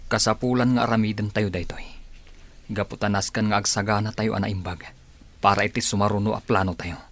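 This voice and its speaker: Low, husky, commanding man, acted. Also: flat delivery, fairly quick, a bit bright. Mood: resolute. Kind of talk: audio drama acting.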